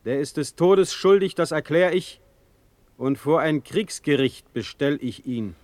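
An actor playing a stern, imperious Prussian ruler in a classical verse radio drama, speaking in a measured, formal, commanding way. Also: male voice, on the high side, animated, a little mellow, no echo. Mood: stern resolve.

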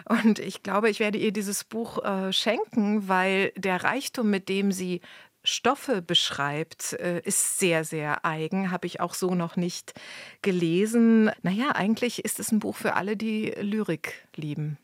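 A woman's voice: medium pitch, unhurried, dry recording. Reflective woman critic, very dry recording. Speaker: literary critic as studio guest. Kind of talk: spontaneous book discussion.

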